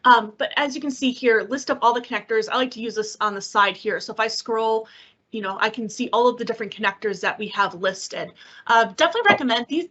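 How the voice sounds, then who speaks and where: A woman's voice: on the high side, fast, a bit bright. A woman giving an informal, upbeat, encouraging product explanation as a technical presenter on an online call. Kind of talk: conversational webinar product explanation. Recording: slightly dull online-meeting audio.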